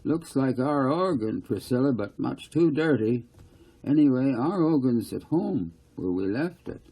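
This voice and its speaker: Prim 1840s farm woman for an audiobook, acted by a man, deliberate harsh country delivery, creaky. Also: mid-range voice, lively intonation, dark tone, pitched above the performer's own voice. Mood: puzzled, disdainful. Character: prim old-fashioned rural woman from the 1840s.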